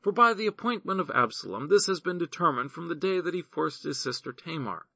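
A man's voice: fairly high pitch, expressive, brisk, gravelly, pushed and bright, slightly rough, dry recording, pitched above the performer's own voice, full character voice. A man reading the part of a shrewd adviser reassuring a grieving king. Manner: reassuring, explanatory.

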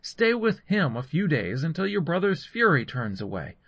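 A man's voice: on the high side, sing-song, normal speed, creaky, fairly bright tone, slightly rough, no room sound, voice pushed up, full character voice. A man voicing an anxious, earnest mother in a scripture story. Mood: urgent, worried. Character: anxious mother urgently counselling her younger son.

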